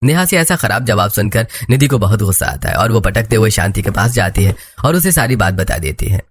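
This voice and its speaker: A man's voice: fairly low pitch, expressive, fairly quick. A man reading scripted narration for a moral story, with music behind him.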